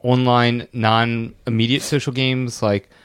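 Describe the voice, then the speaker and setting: A man hosting a casual podcast interview, asking questions in a halting way and often stumbling over his words. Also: medium pitch, little pitch movement, clean tone, no room sound. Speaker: podcast host.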